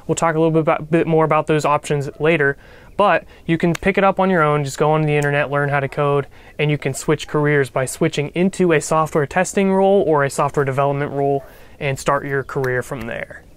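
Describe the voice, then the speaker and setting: A man's voice: fairly high pitch, clean tone, no room sound. A young man with a nasal voice from a cold, hosting a casual coding tutorial with upbeat talk and joking asides. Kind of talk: casual instructional talk to camera.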